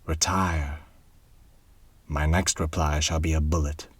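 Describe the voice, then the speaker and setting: A man voicing a shrewd dwarf guardian in a storybook reading, calm, firm and menacing. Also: very deep voice, takes it slowly, slightly rough, no echo, full character voice.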